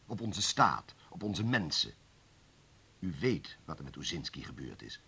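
An actor playing a stubborn, suspicious communist official in a radio play, husky and forcefully argumentative. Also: male voice, very deep voice, very animated, measured pace, heavily acted. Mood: heated, bitter.

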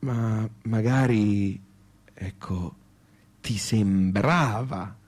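A hapless Tuscan man in a naturalistic radio drama. His voice sits on the low side, and he fusses, hesitates and repeats himself plaintively. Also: drawn-out words, a little mellow. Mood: weary reproach. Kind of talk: naturalistic radio theatre acting.

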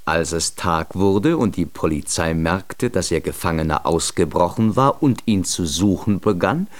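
A man's voice: low voice, expressive, a little slow, dry recording. A man narrating a children's radio play as a storyteller, reading scripted prose.

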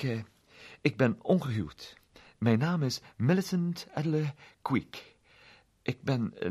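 A man's voice: mid-range voice, husky. A man reading a story, voicing a fussy, unmarried woman art teacher who stammers and repeats herself in a raised voice.

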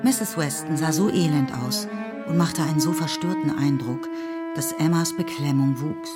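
A woman's voice: low-pitched, moderate pace. A woman reading scripted literary narration as the narrator of a radio drama. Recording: studio narration over loud music. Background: loud instrumental music.

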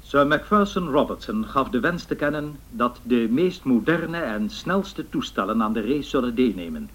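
Formal, husky 1930s radio newsreader man. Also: mid-range voice, animated, fairly bright tone. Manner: formal, measured delivery. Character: formal 1930s male newsreader announcing an air race. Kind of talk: 1930s radio news bulletin reading.

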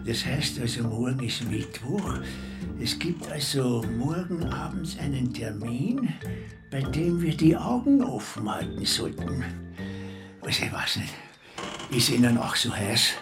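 An actor playing a businesslike partner in amateur sleuthing, in naturalistic radio drama dialogue. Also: male voice, medium pitch, sing-song, unhurried, over music. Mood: uneasy.

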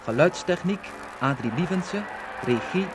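A Dutch man reading credits as a radio announcer in a formal, measured 1950s broadcast style. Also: fairly high pitch, expressive, music in the background. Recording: very noisy vintage 1950 radio recording.

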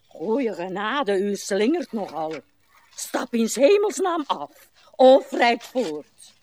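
A weary old woman in a radio drama. She speaks very slowly and theatrically, her pitch swinging widely. Her manner is querulous, sharp-tongued and full of self-pity. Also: very animated. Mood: indignant. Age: older.

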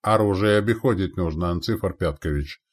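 A man's voice: sits low, brisk. A man voicing a dutiful, eager young gunner with an earnest, obedient manner in an audiobook.

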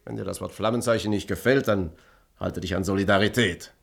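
A man's voice: low-pitched, fairly even intonation, fairly quick, creaky, fairly bright tone, breathy edge, heavily acted. An actor playing an idealistic male activist leader in a German radio play, arguing in a persuasive, declamatory way. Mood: resolute, persuasive.

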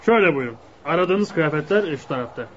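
A man's voice: a little high, animated, fast talker, slightly rough. A man playing a polite, businesslike shop attendant in a radio drama, formal in manner. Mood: courteous. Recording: dull lo-fi radio recording.

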